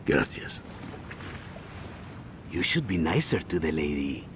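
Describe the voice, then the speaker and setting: A cold, menacing cartel boss with a very low voice that ranges widely in pitch. He speaks quietly and with control, over a clearly audible background rumble in a video game cutscene. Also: very animated, slow, full character voice.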